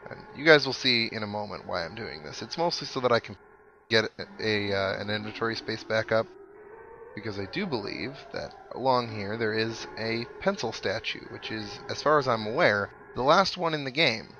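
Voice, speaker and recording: A man's voice: on the low side, expressive, normal speed, pushed and bright, muffled recording, slight background noise. A man giving casual commentary over a video game playthrough, thinking aloud with mild exclamations. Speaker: gaming video host. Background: video game music.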